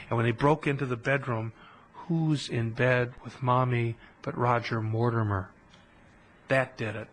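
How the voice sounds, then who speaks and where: A man giving a conversational history lecture on a podcast, telling it as an animated story with colloquial asides. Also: medium pitch, low-quality recording.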